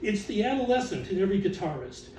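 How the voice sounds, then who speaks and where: A man reciting written text as author and curator, in a theatrical, deliberate way with drawn-out emphasis. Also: high-pitched, sing-song, warm tone. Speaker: author and exhibition curator reading aloud. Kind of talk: dramatic recitation of catalog text to an audience. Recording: roomy, distant mic with background noise.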